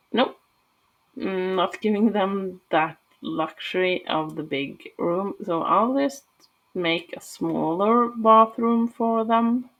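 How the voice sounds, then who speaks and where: A woman's voice: mid-range voice, low-quality recording. A woman from Norway with a Norwegian accent, talking casually and hesitantly through a game build with many fillers. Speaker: gaming channel host.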